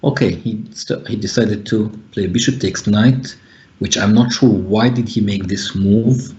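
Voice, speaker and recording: A man's voice: on the low side, a little slow, warm tone, muffled recording. Hesitant man commentating chess. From Egypt. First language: Arabic. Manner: thinking aloud, frequent hesitations. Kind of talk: live online chess commentary.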